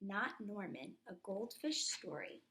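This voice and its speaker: Slow, animated woman reading a picture book. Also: medium pitch. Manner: deliberate, explains and comments on the pictures. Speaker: teacher reading aloud to a class. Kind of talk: expressive children's picture book read-aloud.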